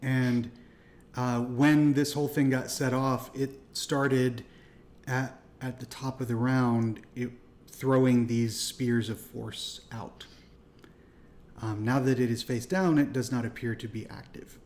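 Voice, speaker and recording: Man, game master, mid pitch, relaxed narrator who chuckles mid-sentence. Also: slow. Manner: relaxed, laughs while narrating. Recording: live stream voice chat.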